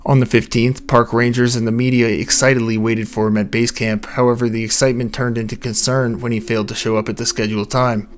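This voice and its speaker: A young man with a low, soft voice, reading a scripted account of a mystery late at night at home. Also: level tone, fast talker, few pauses. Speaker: narrator of a mystery channel. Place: home recording space.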